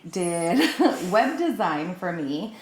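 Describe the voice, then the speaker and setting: A woman's voice: on the low side, very animated, extremely slow, over a noisy crowd. A woman telling personal anecdotes in casual conversation, acting out what was said, with a roomy echo on her voice. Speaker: podcast speaker. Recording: roomy, echoing sound.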